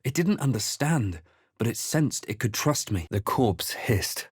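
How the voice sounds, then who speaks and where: An actor playing a grave, watchful male adventurer in a video game, narrating in a measured, dramatic way. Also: medium pitch, big pitch swings, breathy edge, no echo, clean studio sound, full character voice.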